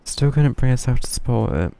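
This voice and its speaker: A young man streaming a game, commenting casually in a low-key, musing way. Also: animated, a little fast, gravelly, warm tone, slight background noise. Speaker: live streamer. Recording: game music behind the voice.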